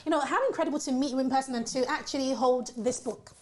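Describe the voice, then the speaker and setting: Female talk show host, very high voice, effusive and enthusiastic in delivery. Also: fast. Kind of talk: enthusiastic interview show hosting.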